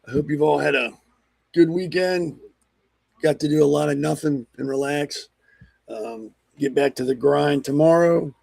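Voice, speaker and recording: A man's voice: fairly high pitch, measured pace, vocal fry, darkish tone, no echo. A middle-aged man with a cold, hosting his own talk show in a blunt, rambling, sarcastic monologue. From Maryland. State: hoarse from a cold. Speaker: podcast host. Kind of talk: casual opinion monologue.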